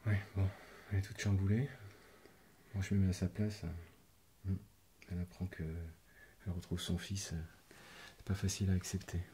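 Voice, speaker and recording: A man playing an earnest, affectionate middle-aged doctor and father in a whispered ASMR roleplay, halting and sincere. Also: low voice, level tone, warm tone, husky. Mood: resigned.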